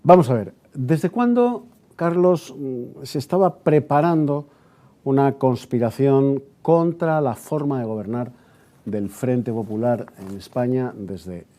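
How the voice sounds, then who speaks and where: A man's voice: mid-range voice, slow, mellow. A man speaking as a programme host, introducing and questioning a guest. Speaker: radio programme host and interviewer.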